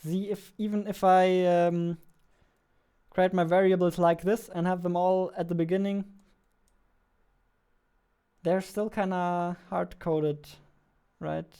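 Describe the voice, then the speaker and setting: A man's voice: high-pitched, flat delivery, takes it slowly, pauses often, mellow, clean tone. A man in his twenties giving casual live commentary while coding, speaking into a close studio mic indoors. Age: 20s. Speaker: indie game developer, stream host. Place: small indoor room at a desk. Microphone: close studio mic on boom arm.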